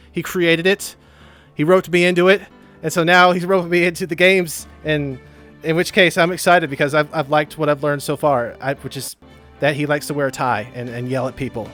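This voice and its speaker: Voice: mid-range voice, a bit bright. A let's play co-host chatting casually while trying out a game. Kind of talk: casual gaming chat.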